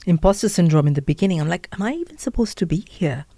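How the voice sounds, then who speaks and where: A woman's voice: lowish voice, expressive, fast, dark tone, dry recording. A middle-aged woman with an Indian accent, a podcaster and author telling her story earnestly in an interview. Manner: earnest storytelling with listing and self-talk quotes. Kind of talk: candid interview conversation.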